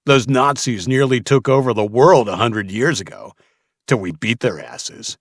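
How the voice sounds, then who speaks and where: A man's voice: mid-range voice, expressive, unhurried, no room sound, clean studio sound, voice pushed up. A man voicing a crude, taunting slaver in his twenties with a folksy way of talking, in an audiobook. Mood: sneering, taunting. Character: sneering, bullying young male slaver in his twenties.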